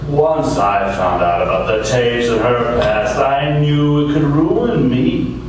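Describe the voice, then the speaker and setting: A menacing villain, played by a man, slow and commanding, with scornful threats in radio-play style. The sound is distant and heavily echoed. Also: medium pitch, expressive, noisy background. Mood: cold, scornful. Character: menacing, scornful male crime boss holding people at gunpoint. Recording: echoey, distant stage recording with music.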